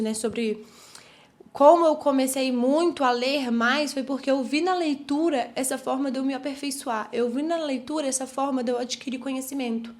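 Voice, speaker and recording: Brief: twenties female podcast host, Brazilian accent, high pitched, animated, conversational monologue. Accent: Brazilian Portuguese. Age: 20s. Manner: chatty, animated, many fillers and restarts. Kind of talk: reflective solo podcast talk.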